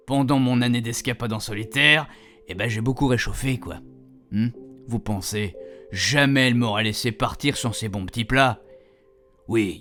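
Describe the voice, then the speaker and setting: A man's voice: mid-range voice, a bit bright. An actor playing a chatty, proud retired husband in a radio fiction, rambling colloquially and speaking straight to his reader. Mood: confiding, rambling. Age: older. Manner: colloquial, digressive, addressing the reader directly. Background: music.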